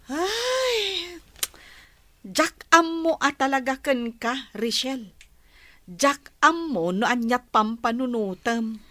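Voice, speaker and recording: A woman with an Ilocano accent playing a blunt, frustrated confidante in a radio drama, forceful and scolding. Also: sits high, lively intonation, slow pace, dry recording, heavily acted. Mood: exasperated.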